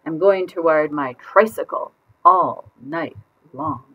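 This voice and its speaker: A woman voicing a stubborn, defiant little girl from a picture book, emphatic and drawn-out in a sing-song way. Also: low-pitched, lo-fi sound. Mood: gleeful, determined.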